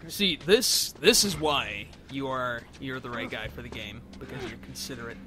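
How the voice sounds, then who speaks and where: A man co-commentating on a video game in casual banter, playful and quick with quips. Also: mid-range voice, background noise. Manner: playful, quipping. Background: video game sounds.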